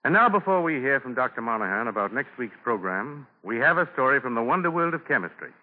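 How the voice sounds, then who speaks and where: A man hosts with formal, scripted 1940s radio announcing. His voice sits at a medium pitch. The old broadcast is narrow and tinny. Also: very animated, fast talker.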